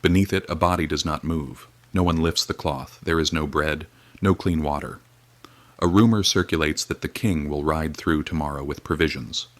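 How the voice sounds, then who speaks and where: An actor playing a weary, grim radio reporter, speaking in a solemn, measured, grave way. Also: male voice, extremely low pitch, no room sound. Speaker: field reporter. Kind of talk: dramatic period radio reporting.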